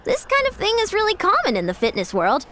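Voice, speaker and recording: A woman voicing an upbeat, encouraging young fitness coach in a video game, brisk and confident as she instructs. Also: extremely high pitch, wildly swooping pitch, bright, forceful tone, full character voice. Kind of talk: game character dialogue.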